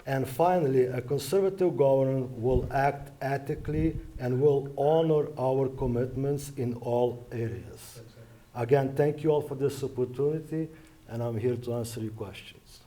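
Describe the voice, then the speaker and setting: A man's voice: mid-range voice, normal speed, mellow. A man from Western Ukraine with a Ukrainian accent, giving a debate opening statement as an election candidate in careful non-native phrasing.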